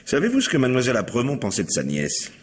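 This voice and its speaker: An actor playing a sceptical, insistent police investigator questioning a witness in an old French radio mystery. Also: male voice, mid-range voice, huge pitch swings, fast talker, husky. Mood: brisk questioning. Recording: long hall-like reverb.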